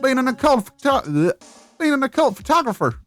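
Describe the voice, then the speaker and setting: Woman voicing an excitable, high-pitched brash female photographer. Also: brisk, soft background music. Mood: rattled. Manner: dramatic, exclamatory. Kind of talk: video game character voicing.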